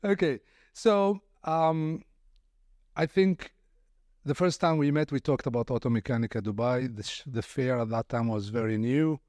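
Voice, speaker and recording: Man, podcast host, medium pitch, dry close mic, polite, halting phrasing. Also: darkish tone, no echo. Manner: courteous, repeats words while searching for phrasing. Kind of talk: podcast interview.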